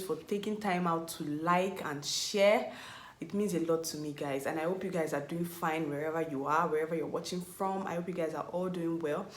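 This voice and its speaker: A young Nigerian woman in her twenties, talking casually to camera. She rambles, corrects herself and often searches for words. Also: sits low. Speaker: vlogger. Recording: echoey room.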